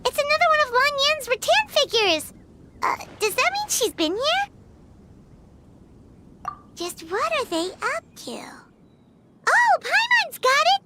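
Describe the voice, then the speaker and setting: A woman voicing a tiny, excitable girl fairy in a video game, with a squeaky childlike voice and a chatty, exclamatory manner. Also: noisy background, heavily acted. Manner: childlike, speaks of self in third person, exclamatory.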